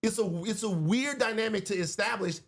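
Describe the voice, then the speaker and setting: Higher-voiced man talking emphatically. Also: moderate pace, gravelly, fairly bright tone. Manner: emphatic, builds points through repetition. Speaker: guest. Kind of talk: casual political conversation.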